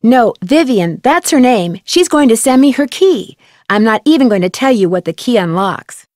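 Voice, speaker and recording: A woman's voice: a little high, expressive, normal speed, a little mellow, no echo, studio quality, natural voice. A woman playing a curious young amateur detective in a game, explaining things articulately and matter-of-factly. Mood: explanatory. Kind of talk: scripted game voice acting.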